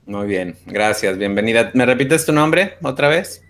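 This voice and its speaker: A man's voice: medium pitch, expressive, unhurried, fairly bright tone. Male teacher, 30s, Spanish, video-call roll call, short friendly replies. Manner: friendly, brief acknowledgments. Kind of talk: online class roll call.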